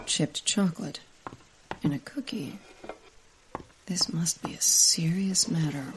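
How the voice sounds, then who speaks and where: An actress playing a stern, easily annoyed scientist in a comedic audio drama, dry, skeptical and sardonic. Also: female voice, on the low side, slow pace, whispered, poor audio. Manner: deadpan, sardonic.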